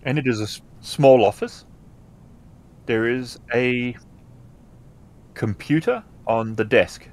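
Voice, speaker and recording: A man's voice: medium pitch, takes it slowly, long pauses. A man describing the scene as game master of a tabletop role-playing game.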